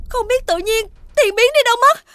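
Shrill, flustered cartoon dog mother. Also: fairly quick, a bit bright, no room sound, clean studio sound. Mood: distressed. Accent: southern Vietnamese. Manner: agitated, exclaiming. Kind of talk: children's cartoon dubbing.

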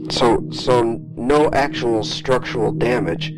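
Male actor as composed, methodical official debriefer, medium register, audio drama over clear background music. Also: medium pitch, natural voice, low-quality recording. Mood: calm, clinical. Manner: measured, formal, probing. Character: calm, clinical male interviewer debriefing a soldier. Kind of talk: audio drama, formal interview log.

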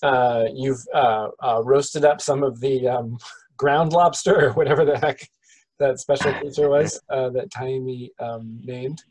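A man running a tabletop game over a video call, describing the scene in a halting way with many pauses and restarts. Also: medium pitch, steady tone, darkish tone, husky, rough recording. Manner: hesitant, full of ums and restarts. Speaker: game master.

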